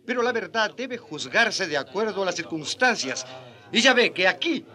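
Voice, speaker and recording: An actor playing a haughty, zealous colonial bishop in a radio drama, pompous, scornful and declamatory. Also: male voice, high-pitched, very animated, normal speed, pushed and bright, full character voice. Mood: stern, smug. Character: haughty, zealous, hard-handed colonial bishop, male villain.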